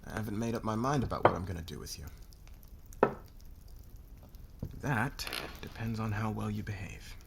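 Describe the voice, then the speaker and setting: A man playing a demanding, smug corporate boss in an intimate ASMR roleplay, probing and deliberate, with a threatening manner. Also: lowish voice, gravelly, very noisy. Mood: controlled menace. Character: dominant, demanding male corporate boss, smug and calculating interrogator.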